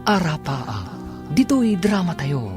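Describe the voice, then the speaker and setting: Animated radio drama narrator over music. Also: medium pitch, slow pace. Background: guitar music.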